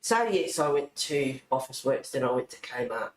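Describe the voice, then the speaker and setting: A man's voice: mid-range voice, sing-song, normal speed. A man with an Australian accent chatting casually as a livestream host, rambling and easily sidetracked. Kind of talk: casual chat. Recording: roomy echo, faint noise.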